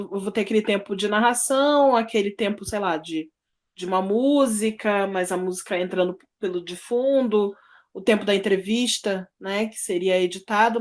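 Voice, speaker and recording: A Brazilian woman speaking casually as a student, tentatively piecing a question together aloud. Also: mid-range voice. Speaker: workshop student.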